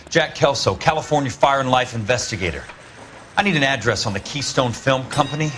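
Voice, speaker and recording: Street noise sits behind an actor as a 1940s American insurance investigator in a detective game. He is a man of middling pitch, terse and dry, businesslike with a wry edge. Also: animated, fairly quick, fairly bright tone, lightly acted. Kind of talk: video game detective acting.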